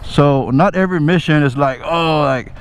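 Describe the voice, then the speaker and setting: A man's voice: on the high side, normal speed. A man telling a story in a casual, conversational way, swearing freely and often checking in with the listener, as he vlogs from a motorcycle. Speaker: motovlogger and army veteran telling stories. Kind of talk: casual rambling storytelling to camera while riding. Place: outdoors, riding a motorcycle in traffic. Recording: engine and traffic noise behind voice. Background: motorbike engine and road traffic.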